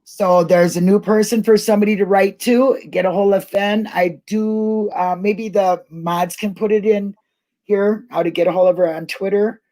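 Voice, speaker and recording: A woman's voice: medium pitch, fairly even intonation, moderate pace, dark tone. A middle-aged woman hosting a casual live online discussion, chatting in a colloquial, rambling way. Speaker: host of an online talk show.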